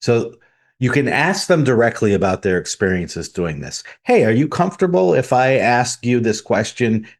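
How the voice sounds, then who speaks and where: A man's voice: medium pitch, big pitch swings, normal speed. A man co-hosting a tabletop gaming podcast, methodically laying out his points one by one in casual discussion. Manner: methodical, lays out numbered points. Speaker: podcast co-host.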